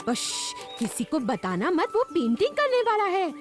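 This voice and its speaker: A woman voicing an excitable, gossipy female bird messenger in a children's cartoon. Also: extremely high pitch, measured pace, full character voice. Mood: excited. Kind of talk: Hindi children's cartoon dub. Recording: loud music behind the voice.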